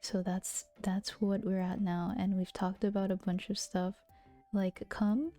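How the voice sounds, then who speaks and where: A woman streaming and chatting close to the mic, her voice soft and gentle and often dropping into a half-whisper. Her tone is mellow, and she teases playfully with frequent giggles. Quiet music plays faintly behind her. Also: mid-range voice, flat delivery, a little slow. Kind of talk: casual chat stream. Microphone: close to a regular mic.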